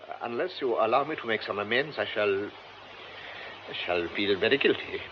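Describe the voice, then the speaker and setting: An actor as a formal stammering gentleman. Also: on the low side, expressive, normal speed, close to an everyday voice. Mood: insistent. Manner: formal, hesitant stammer, insistent. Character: formal, insistent gentleman, wealthy and apologetic. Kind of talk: radio drama acting. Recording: dull old radio recording with hiss.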